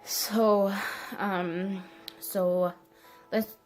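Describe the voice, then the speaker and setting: Slow, hesitant young woman. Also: medium pitch, flat delivery, darkish tone, soft background music, low-quality recording. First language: French. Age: early 20s. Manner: hesitant, halting. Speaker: vlogger. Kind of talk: casual personal vlog to camera.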